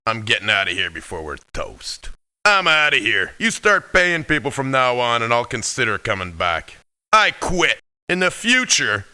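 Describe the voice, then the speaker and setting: Forceful, gruff game mercenary. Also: male voice, mid-range voice, heavily acted. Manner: blunt, emphatic, grumbling. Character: gruff, aggrieved, hard-bitten male mercenary. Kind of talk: video game character voice acting.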